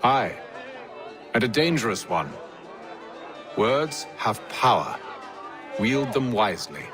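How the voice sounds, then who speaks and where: An actor playing a confident, worldly gentleman leader in a game cutscene, speaking eloquently with dry wit. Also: medium pitch, very animated, over music, crowd noise. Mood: philosophical, stern. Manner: measured, eloquent, dryly wry. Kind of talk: dramatic game cutscene acting.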